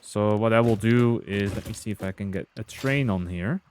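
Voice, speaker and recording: A young man with a fairly low voice talks through a game stream at an easy pace. He chats loosely with his viewers as he plays. A faint hiss sits under his desk mic. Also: normal speed. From Belgium. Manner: relaxed, chatty, reading and answering chat. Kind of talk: casual gameplay commentary with chat.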